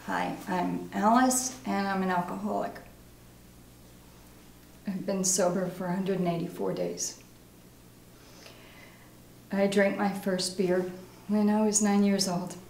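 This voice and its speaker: A woman performing a dramatic monologue as a guilt-ridden recovering alcoholic mother, halting, sombre and confessional. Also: medium pitch, flat delivery, vocal fry, a little mellow. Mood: subdued, ashamed. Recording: roomy echo, faint noise.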